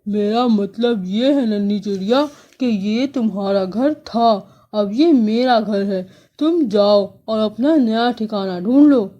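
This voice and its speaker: A woman voicing a greedy, bullying male crow who talks in a loud, drawn-out, gloating way. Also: medium pitch, muffled recording, natural voice. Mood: bossy.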